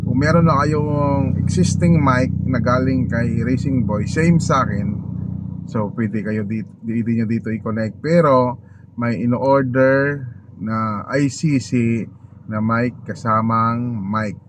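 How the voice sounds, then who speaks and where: A Filipino man talks in a casual, halting vlog style at an average pitch, pausing to find his words. His voice sits in a very noisy recording, with vehicle sounds and ambience behind it. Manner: informal and halting, searching for words. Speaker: motovlogger host. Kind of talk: casual unboxing chat.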